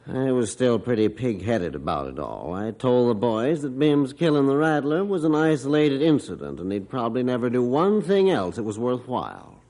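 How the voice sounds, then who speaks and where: A man's voice: mid-range voice, sing-song, moderate pace, few pauses, dark tone. An actor playing a middle-aged rancher father who tells his story in a folksy, matter-of-fact way in an old radio drama. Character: rancher father Mister Jorgensen, gruff storyteller. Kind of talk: 1950s radio drama narration.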